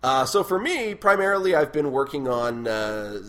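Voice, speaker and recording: A man hosting a casual podcast chat, with a loud, booming voice, swearing freely and laughing often. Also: sing-song, normal speed. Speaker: podcast host.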